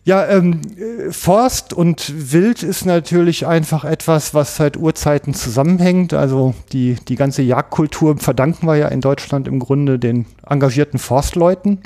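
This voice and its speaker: A man's voice: fairly high pitch, moderate pace, hardly any pauses, mellow. A man hosting a hunting podcast, talking casually with many hesitations and fillers. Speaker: podcast host.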